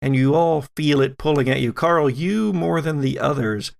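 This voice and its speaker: A man narrating a tabletop horror game as game master, deliberate and suspenseful, with frequent dramatic pauses. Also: a little high, creaky, darkish tone.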